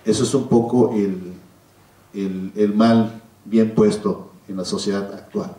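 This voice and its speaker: A middle-aged man with a Mexican accent speaking at a public round table, measured and hesitant with frequent pauses. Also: fairly low pitch, little pitch movement, warm tone. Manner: measured, hesitant, pausing often. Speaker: panel speaker. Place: cinema auditorium stage. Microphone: handheld PA microphone, filmed from audience. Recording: echoey hall, distant camera microphone.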